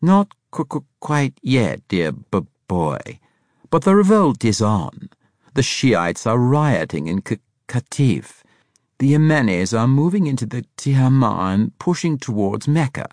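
A man's voice: mid-range voice, sing-song, a little slow, darkish tone, no echo, clean studio sound, voice pushed up. A man voicing a cheerful, flamboyant older spy who stammers on consonants and talks in an airy, condescending way. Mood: gleeful. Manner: airy, arch, condescending, effusive.

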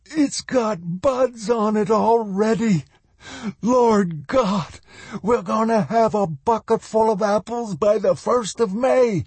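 Excitable, husky rustic old man. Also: high-pitched, no room sound, voice pushed up, exaggerated voice. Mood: overjoyed. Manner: folksy, exclamatory, drawn-out.

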